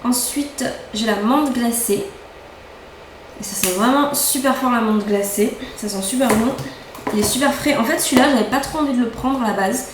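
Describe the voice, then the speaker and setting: A woman's voice: fairly high pitch, moderate pace, very noisy. Chatty young woman in an echoey room. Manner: chatty, enthusiastic, repeats words for emphasis. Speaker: beauty vlogger. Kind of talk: casual haul chat. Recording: echoey room, faint ambience.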